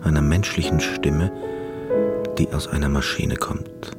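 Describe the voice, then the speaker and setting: A man's voice: extremely low pitch, fairly even intonation, a little slow, over loud music. An actor playing an eccentric, obsessive, reclusive male pianist in a radio drama, rambling and theatrical in an intimate confessional way. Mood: intimate, yearning. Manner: rambling, theatrical, self-absorbed.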